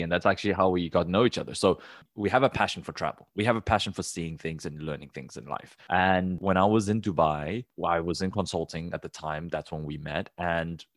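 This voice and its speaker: A man's voice: low voice, no echo, studio quality. A young man co-hosting a casual podcast, talking in loose rambling sentences with fillers and restarts. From Taiwan. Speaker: podcast co-host.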